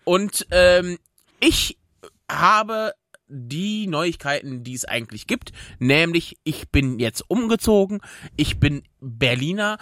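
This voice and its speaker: A young man from western Germany chatting casually as a podcast co-host, in a halting, hesitant way with stammered starts. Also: fairly high pitch, expressive, pushed and bright, no echo, clean studio sound. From Germany (North Rhine-Westphalia). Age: young adult. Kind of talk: casual chat.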